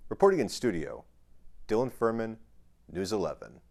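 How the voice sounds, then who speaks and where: Low-voiced young male news reporter. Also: sing-song, normal speed, gravelly, a little mellow. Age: young adult. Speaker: local TV news reporter intern.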